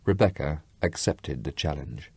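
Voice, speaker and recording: A man's voice: very deep voice, animated, unhurried, a little mellow, slightly rough, dry recording. A man narrating a literary story from a script as an audiobook reader.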